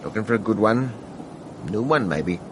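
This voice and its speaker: A man's voice: lowish voice, lively intonation, moderate pace, lightly acted. Noisy ambience, male actor as folksy old-hand cameraman, radio drama, laconic, world-weary, varied intonation. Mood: world-weary, reflective. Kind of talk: radio mystery drama acting. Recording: noisy, with outdoor ambience behind.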